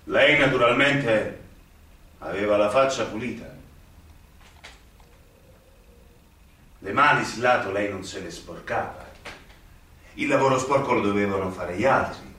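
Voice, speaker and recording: An actor playing a stern, idealistic young magistrate haunted by guilt in a radio drama, with emphatic, deliberate phrasing and dramatic pauses. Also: male voice, fairly low pitch, bright, forceful tone. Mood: accusing. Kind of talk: theatrical radio drama acting. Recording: very echoey stage, distant mic, music behind.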